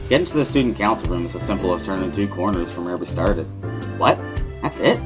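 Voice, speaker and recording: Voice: deep voice, animated, quick pace, over music. A reader playing a wary teenage schoolboy who narrates his own story in a dry, mildly sardonic way, as a visual novel dramatic reading.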